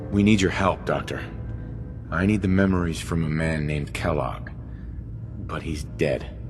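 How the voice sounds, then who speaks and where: Deep, serious game hero. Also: male voice, fairly even intonation, unhurried, music in the background. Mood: earnest. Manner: plain-spoken, serious. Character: grim, determined father searching for his son. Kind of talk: video game protagonist acting.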